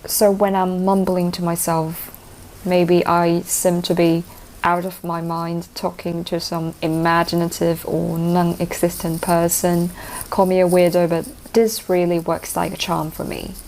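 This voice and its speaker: Low-voiced woman, cantonese first language, chatty informal english answers. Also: completely flat delivery, measured pace, a little mellow, a little hiss or hum, rough recording. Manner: fluent, informal, uses slang. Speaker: IELTS speaking candidate. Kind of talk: answering interview questions.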